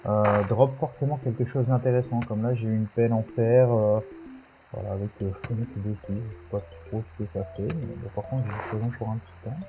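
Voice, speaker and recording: A man's voice: lowish voice, fairly even intonation, fairly quick, low-quality recording. A man with a cold, chatting hesitantly through a Minecraft video with lots of fillers. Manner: hesitant, many fillers and restarts. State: stuffy with a cold. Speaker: gaming YouTuber. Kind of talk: casual Minecraft commentary. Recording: music playing behind.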